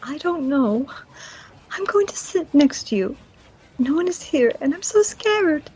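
Woman acting high-pitched, frightened young lover. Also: big pitch swings, measured pace, a little mellow. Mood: scared. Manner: anxious, emotional. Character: frightened, trusting woman in love. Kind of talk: fantasy audio drama acting. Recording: dull lo-fi sound.

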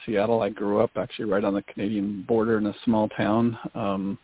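A man from the US Pacific Northwest, a guest in a radio interview, talking in a relaxed, casual way with many fillers. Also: fairly low pitch, fairly quick, husky. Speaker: guest, business broker. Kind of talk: interview answers. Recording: dry phone-line audio.

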